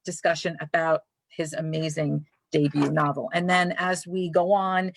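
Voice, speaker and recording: A woman's voice: sits low, little pitch movement, normal speed, vocal fry, no echo. A woman from Brooklyn with a Brooklyn accent, hosting a live library author chat in an effusive, chatty way. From Brooklyn, New York. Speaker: librarian host.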